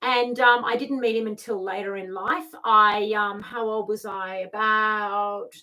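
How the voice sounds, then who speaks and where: A woman's voice: mid-range voice, steady tone, measured pace, sharp tone. A middle-aged woman with an Australian accent giving informal spiritual guidance to camera as a tarot reader, stressing her points with repetition. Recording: distant microphone.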